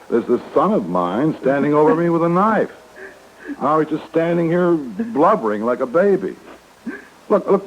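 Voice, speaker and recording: An actor playing a bewildered, irritable middle-aged stepfather with a gruff, exasperated manner, in radio drama style. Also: medium pitch. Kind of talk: 1950s radio drama acting. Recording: dull, hissy vintage radio recording.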